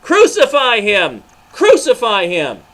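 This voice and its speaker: A man reading a storybook, playing a member of an angry, jeering mob with loud shouts. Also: extremely high pitch, wildly swooping pitch, slow pace, voice pushed up, full character voice. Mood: furious.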